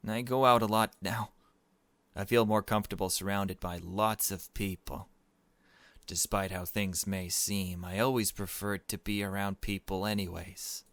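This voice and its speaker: A man reading aloud the narration of a gentle, anxious, bookish young girl in a visual novel. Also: on the low side, no room sound. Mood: uneasy, confiding.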